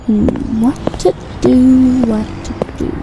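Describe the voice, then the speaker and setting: A woman's voice: high-pitched, level tone, takes it slowly, over loud music, low-quality recording. A woman playing a young, feisty superheroine in an audio drama, speaking in a casual, flippant way.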